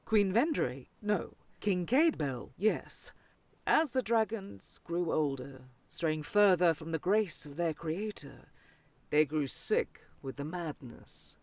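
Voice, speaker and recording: A woman's voice: sits low, expressive, slow pace, darkish tone, no room sound, heavily acted. A woman playing a proud older dragonfolk scholar in a fantasy audio drama, grandly formal and haughty. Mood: stately, helpful.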